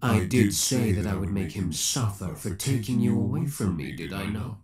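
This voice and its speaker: Man acting a low-voiced, possessive young villain. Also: lively intonation, moderate pace, over a noisy crowd, lightly acted. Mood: cold taunting. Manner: theatrical, formal, taunting, pet names. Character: obsessive young male villain, possessive, menacing, coldly affectionate. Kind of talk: roleplay audio drama acting.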